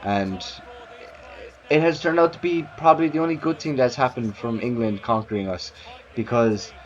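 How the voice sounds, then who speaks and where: A man's voice: mid-range voice, moderate pace. A young Irish man talking casually to camera as a vlogger, rambling, with many restarts and fillers. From Ireland. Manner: rambling, repeats himself, frequent restarts and fillers. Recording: dull lo-fi sound.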